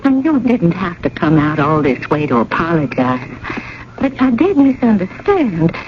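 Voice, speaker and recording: Fluttery, genteel old widow, noisy recording. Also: female voice, lowish voice, big pitch swings. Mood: fluttery, polite. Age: older. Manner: chatty, hesitant, halting repetitions, ladylike. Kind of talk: 1980 American radio drama acting. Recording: dull, noisy old radio broadcast.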